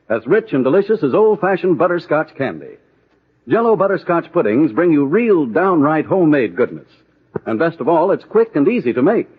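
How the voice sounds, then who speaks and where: A male announcer gives an old-time radio sales pitch in a folksy, eager way. His voice is husky and swings up and down in lively fashion. The recording is thin and tinny. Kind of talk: 1940s radio advertising pitch.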